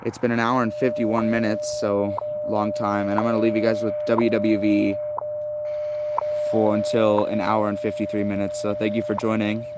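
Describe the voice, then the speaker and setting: A man hosting a hobby live stream, chatting casually and haltingly as he thinks aloud like a keen enthusiast. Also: fairly low pitch, monotone, heavy background noise. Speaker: host of a shortwave radio listening stream.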